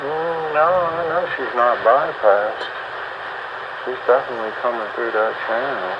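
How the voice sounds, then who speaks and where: A man's voice: mid-range voice, a little slow, highs cut off. A man heard over a noisy, band-limited radio signal, chatting casually and technically as an operator adjusting his gear.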